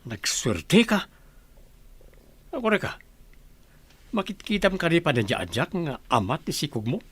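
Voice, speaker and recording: A man's voice: a little high, very animated, unhurried, a bit bright, slight background noise. A man playing a gossipy, teasing confidant in an Ilocano radio drama. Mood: admiring, teasing. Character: gossipy, teasing friend of the mistress.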